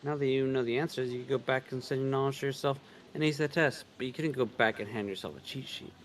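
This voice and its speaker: A man's voice: mid-range voice, steady tone, fast, a little hiss or hum. A man co-reading a visual novel on a livestream, moving between read lines and casual commentary. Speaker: co-reader on a game livestream.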